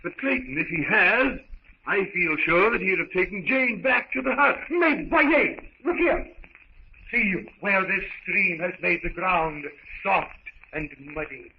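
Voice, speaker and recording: An actor playing a grave, frank lieutenant, speaking formally and emphatically in a 1930s radio serial. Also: male voice, high-pitched, animated, a little slow, creaky, exaggerated voice. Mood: intent. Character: grave, frank French lieutenant leading a jungle search. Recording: muffled 1930s radio recording, faint noise.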